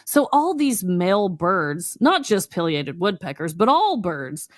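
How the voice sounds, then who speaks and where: Animated woman podcast host, dry close sound. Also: lowish voice, a little slow, dry recording. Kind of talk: casual comedic true crime podcast chat.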